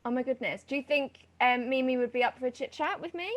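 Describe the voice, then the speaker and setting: A woman with a high voice hosts an easygoing online chat. She asks her questions informally and with a playful touch. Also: quick pace. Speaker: host of a chat show.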